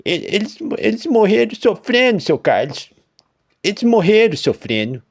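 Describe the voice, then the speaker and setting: A man's voice: on the high side, unhurried, pitched above the performer's own voice. A man voicing a dead farmer's ghost who stammers in plain country speech. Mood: guilty. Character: guilt-ridden dead male farmer ghost, rustic.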